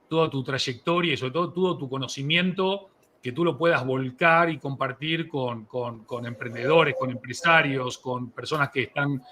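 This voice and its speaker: Bright-voiced, effusive male interview host. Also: medium pitch, a little hiss or hum. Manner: effusive, enthusiastic praise of guest. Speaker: host of a live interview show. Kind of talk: casual, unscripted interview chat.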